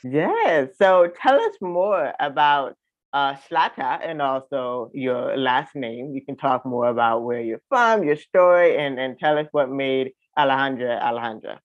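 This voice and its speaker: A man's voice: mid-range voice, lively intonation, unhurried, no room sound. A man from South Florida hosting a podcast in a conversational style, on a narrow phone-like recording. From South Florida, United States. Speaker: podcast host. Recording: dry, narrow phone-like sound.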